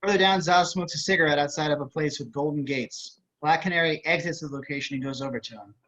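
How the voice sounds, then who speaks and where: A man's voice: a little high, fast, a bit bright. A man reading scripted stage directions as narrator of an amateur table read on a dull-sounding video call.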